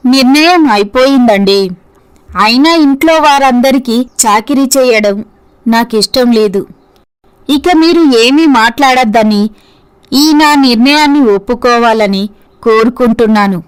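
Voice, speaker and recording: A woman playing a dutiful, principled housewife and mother in a scripted story, speaking earnestly and in measured phrases. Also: a little high, smooth, lightly acted, poor audio. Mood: firm, hurt.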